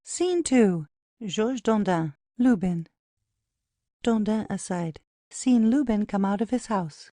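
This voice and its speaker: A woman's voice: mid-range voice, animated, slow, warm tone, no room sound, studio quality. A woman narrator reading out stage directions in a plain, announcing manner, as scripted narration.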